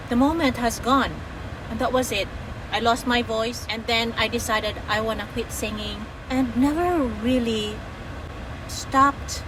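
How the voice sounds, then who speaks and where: A woman's voice: on the high side, background noise. A woman from the Philippines with a Filipino accent, telling a personal story to her viewers in a chatty way, often saying "you know" and "guys". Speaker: vlogger. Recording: distant mic with clear music behind.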